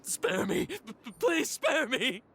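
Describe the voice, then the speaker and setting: Terrified game villager, stammering, trembling, dragging pace, pitch lurching about, faint music. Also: male voice, wildly swooping pitch, slow, heavy background noise, full character voice. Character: frightened, unhinged man rescued from a forest. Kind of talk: video game voice acting.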